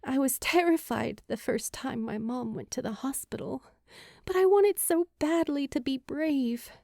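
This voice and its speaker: A woman voicing an earnest, grieving teenage girl in an audiobook, halting and emotional as she speaks. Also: sits high, vocal fry, darkish tone, dry recording, clean studio sound, voice pushed up. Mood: wistful, vulnerable. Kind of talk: acted dialogue in audiobook narration.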